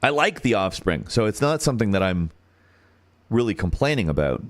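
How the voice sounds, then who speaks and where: A man with a deep radio-style voice and an American accent, hosting a casual livestream and thinking out loud as he searches for words. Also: very animated, a little fast. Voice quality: turns nasal when singing high.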